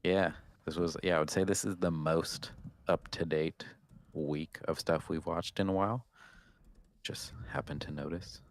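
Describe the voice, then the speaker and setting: A man's voice: deep voice, steady tone, a little slow, vocal fry. A man, chatting casually as a podcast co-host in a laid-back, matter-of-fact way. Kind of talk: casual chat.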